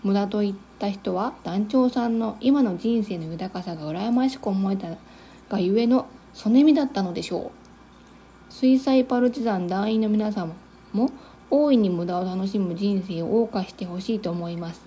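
A woman's voice: mid-range voice, normal speed, mellow, a little hiss or hum. A woman co-hosting a casual chat, polite and agreeable in the way she talks and reads. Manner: agreeable, frequent short acknowledgements, polite. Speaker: co-host, amateur theatre member. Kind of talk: casual chat and listener-letter reading.

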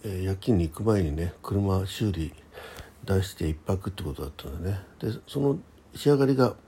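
A man, probably middle-aged, chatting casually by himself as a radio host, halting and thinking aloud in short polite phrases. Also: low-pitched, expressive, dark tone, breathy edge. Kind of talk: casual solo chat about daily life.

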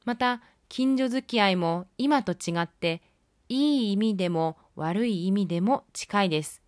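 A woman's voice: mid-range voice, a little slow, dry recording. Woman narrating a film review, dry close sound. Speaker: film review podcast host.

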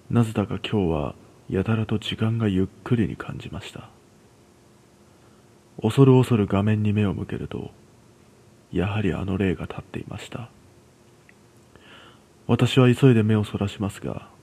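Low, husky male ghost story narrator. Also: dark tone. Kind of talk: scripted ghost story reading in first person.

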